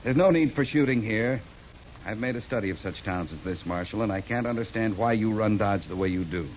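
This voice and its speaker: An actor playing a stiff, self-righteous bureaucrat in a 1950s western radio drama, formal and lecturing in manner. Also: male voice, on the low side, a little fast, lightly acted. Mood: critical, prim. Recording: muffled, noisy 1950s radio recording.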